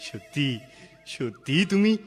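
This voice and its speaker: A man playing a kindly older merchant father in a Bengali cartoon, halting and expressive, repeating his words. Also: fairly high pitch, faint music behind. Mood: amazed, approving. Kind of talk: Bengali fairy-tale cartoon acting.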